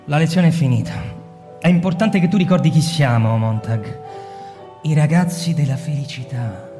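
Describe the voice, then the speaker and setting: An actor playing a cold, persuasive male fire captain lecturing a subordinate in a radio drama, smooth and insistent, sometimes hushed. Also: fairly high pitch, moderate pace, big-room sound, faint music behind, close to an everyday voice.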